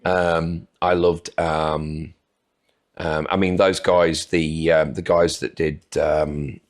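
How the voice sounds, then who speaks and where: A man answers interview questions, his voice sitting very low and heavy. He talks in a relaxed, rambling way and pokes gentle fun at himself. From North Wales. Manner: unhurried, rambling, self-deprecating. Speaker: podcast guest. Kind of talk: casual interview chat.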